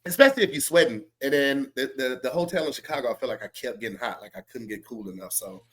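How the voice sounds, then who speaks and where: A man co-hosting a podcast, stuffy with a cold, talking in a loose, joking way and reading an ad with drawn-out emphasis. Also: medium pitch, animated, fairly bright tone. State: stuffy, congested. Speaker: podcast co-host. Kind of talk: casual chat and read ad.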